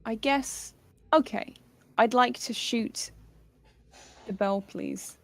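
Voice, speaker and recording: A woman's voice: a little high, takes it slowly, gravelly, a bit bright. A woman playing in a tabletop game, joking with the others. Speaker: player. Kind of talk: casual game chat.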